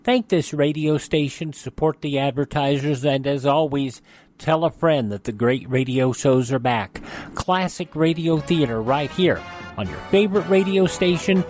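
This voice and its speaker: A man's voice: a little high, moderate pace, a little mellow, soft background music. An American man presenting a radio show from a script as its host.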